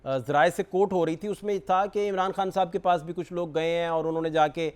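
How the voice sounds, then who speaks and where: A man's voice: on the high side, steady tone, a little fast, clean tone. A man with a Pakistani accent hosting a political talk show, putting questions politely to his guest. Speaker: television talk show host.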